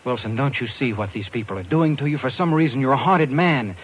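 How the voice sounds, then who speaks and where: An American actor playing a determined talent agent who narrates, emphatic and hard-boiled, in a 1950s radio drama. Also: male voice, mid-range voice, brisk, muffled recording, close to an everyday voice. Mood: insistent. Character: determined, brisk New York talent agent man, narrator. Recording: hissy old radio recording.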